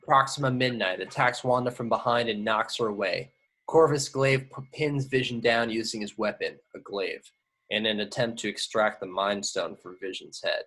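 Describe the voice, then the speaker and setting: A man's voice: lowish voice, moderate pace, fairly bright tone, rough recording. A man reading screenplay action lines at a table read, matter-of-fact with the odd stumble. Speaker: stage-direction reader.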